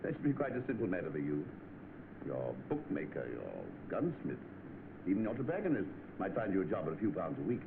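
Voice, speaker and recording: An actor playing a stern, scornful English doctor in a vintage British film, speaking formally with cutting emphasis. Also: male voice, sits low, big pitch swings, fairly quick, creaky, phone-quality sound, full character voice. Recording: thin, noisy old film soundtrack.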